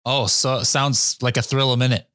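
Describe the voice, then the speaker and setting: A man's voice: mid-range voice, steady tone, moderate pace, a bit bright, no echo. A man hosting a podcast, asking questions in an upbeat, conversational way. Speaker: podcast host. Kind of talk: podcast interview.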